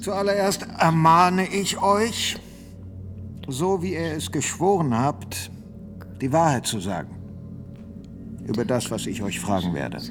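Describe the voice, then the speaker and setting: An actor in a radio drama playing a church examiner who questions a prisoner in a formal, admonishing manner. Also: male voice, fairly high pitch, lively intonation, slow, pauses often, over music. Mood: grave, probing.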